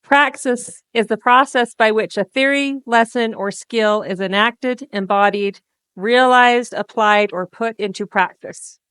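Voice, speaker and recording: A woman moderating a devotional panel, posing questions in a friendly, brisk way. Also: a little high, a bit bright, clear, resonant tone, no echo.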